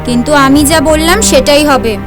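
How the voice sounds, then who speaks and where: A woman's voice: sits high, normal speed, music in the background, lightly acted. A woman playing a protective, insistent mother in an audio story. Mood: firm, caring.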